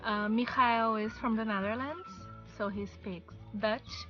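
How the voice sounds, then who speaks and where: A woman's voice: a little high, measured pace, muffled recording, soft background music. A young woman from Brazil with a Brazilian Portuguese accent, chatting casually to camera in English with many fillers, outdoors on a patio. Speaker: vlogger. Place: outdoor patio by a wooden fence.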